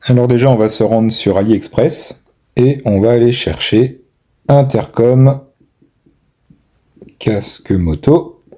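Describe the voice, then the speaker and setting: A man giving casual product commentary, thinking aloud with hesitant pauses. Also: medium pitch, dark tone. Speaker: amateur video maker reviewing a purchase.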